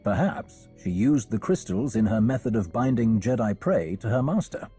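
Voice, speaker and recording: A man voicing a composed, learned scholar in a game, soft and slightly husky, with polite, measured formality. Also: on the low side, animated, soft background music. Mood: thoughtful. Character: courteous, learned male scholar of ancient relics, composed. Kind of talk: video game cutscene acting.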